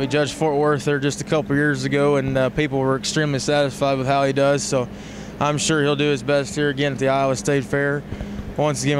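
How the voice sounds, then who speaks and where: An American man hosting live livestock show commentary in a measured, matter-of-fact way. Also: mid-range voice. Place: large indoor show arena. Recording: noisy livestock arena ambience.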